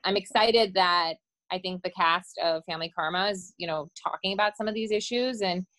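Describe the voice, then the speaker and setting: A woman's voice: fairly low pitch, brisk, fairly bright tone, no echo. A woman from India who moved to the US, hosting a casual panel conversation. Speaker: host.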